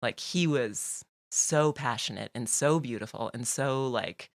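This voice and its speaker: A woman's voice: a bass voice, a little slow, vocal fry, no echo, studio quality. A woman guest on a podcast, talking casually about her life, with frequent laughter and lots of "like" and "you know". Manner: chatty, laughs often, many fillers. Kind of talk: casual conversational interview.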